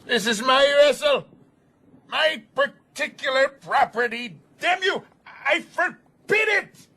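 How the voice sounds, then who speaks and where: An actor playing a menacing, defiant sea captain in a radio drama, commanding and sardonic. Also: male voice, extremely high pitch, lively intonation, takes it slowly, pushed and bright, heavily acted. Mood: furious. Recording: faint sea and boat noise behind.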